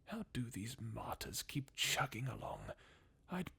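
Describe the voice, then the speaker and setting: A performer voicing a jaded, sardonic undead warrior from a fantasy video game, in a breathy, husky half-whisper with a weary, mocking manner. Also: medium pitch, very animated, a little slow, sharp tone, exaggerated voice. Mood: bleakly mocking. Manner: sardonic, weary, chuckling bleakly. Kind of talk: fan redub of video game dialogue, ASMR-like.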